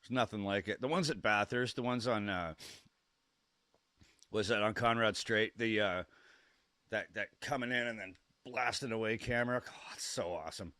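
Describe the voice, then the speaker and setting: A young man with a cold, sniffly and coughing, chatting casually and excitedly on a live stream, rambling and stumbling over his words. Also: lowish voice, moderate pace, dry recording, clean studio sound. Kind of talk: casual live-stream watch-along chat.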